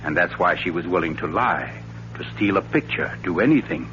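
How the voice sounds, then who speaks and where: An actor playing a suave, wry writer-sleuth with a pompous, deliberate and teasing delivery in a 1940s radio thriller. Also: male voice, low-pitched, lively intonation, a bit bright. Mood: grave, knowing. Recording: dull, noisy, humming 1940s radio recording.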